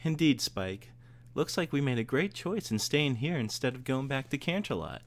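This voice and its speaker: A man's voice: mid-range voice, big pitch swings, moderate pace, pitched above the performer's own voice. A man voicing an earnest, curious young female cartoon pony with an eager, questioning manner. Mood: cheerful.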